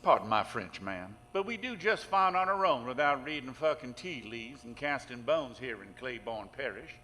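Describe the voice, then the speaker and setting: An actor playing a sarcastic, foul-mouthed small-town Louisiana sheriff in an audio horror drama, gruff and dismissive. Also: male voice, medium pitch, lively intonation, normal speed, bright, forceful tone. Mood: scornful, irritated. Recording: very reverberant, with noise behind.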